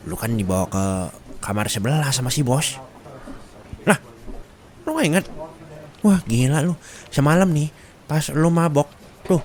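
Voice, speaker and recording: A man playing a gossipy, excitable male office coworker in a roleplay, with a Jakarta accent and slangy, dramatic, rambling chat. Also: medium pitch.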